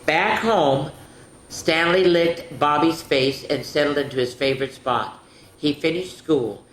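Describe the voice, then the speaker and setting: A woman's voice: low-pitched, unhurried. Older woman hosting children, echoey room. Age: senior. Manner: chatty, instructive, asks the children questions. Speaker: children's story time host. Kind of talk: children's storytelling and activity talk. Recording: echoey room with faint noise.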